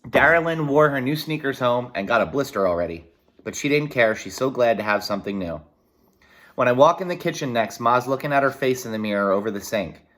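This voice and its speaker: Expressive man reading a story aloud. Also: mid-range voice, a little fast. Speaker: reader reading a children's novel aloud with side comments. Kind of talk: read-aloud narration with brief explanatory asides.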